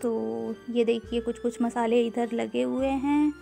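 A woman giving an unhurried, casual walkthrough of a grocery store, filming on a handheld phone and mixing English words into her talk with frequent "uh" fillers. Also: fairly high pitch, steady tone, creaky, dark tone, faint music behind. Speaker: vlogger touring a grocery store.

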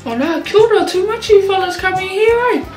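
A woman's voice: very high-pitched, lively intonation, brisk, darkish tone, faint music behind, pitched above the performer's own voice. A woman acting a folksy, proud middle-aged New Zealand mother in a comedy sketch, slangy and rambling, in an echoey room. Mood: proud, boastful.

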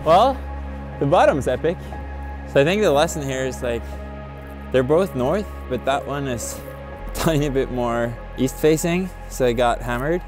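A young man on a snowy mountain ski tour, chatting casually and excitably into a body-worn action camera, swearing and laughing often. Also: mid-range voice, measured pace, music in the background. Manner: excitable, swears, laughs a lot, says "dude". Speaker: skier and vlogger. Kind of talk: casual outdoor chat while ski touring. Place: outdoors on a snowy mountain couloir.

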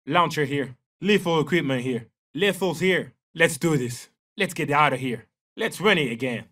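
An actor with a French accent playing a confident young special forces soldier in a military shooter game, giving terse, clipped callouts. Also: male voice, fairly high pitch, animated, moderate pace, bright, forceful tone, recorded from a distance. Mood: focused. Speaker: video game operator.

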